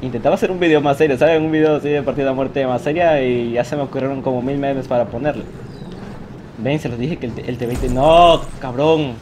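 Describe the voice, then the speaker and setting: A man talks over his online game in an excitable, self-mocking way. He laughs often and jokes about his own mistakes. The sound of the game plays clearly behind his voice. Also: medium pitch, fairly quick. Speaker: gaming youtuber. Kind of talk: live gameplay commentary. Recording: game audio noise behind the voice.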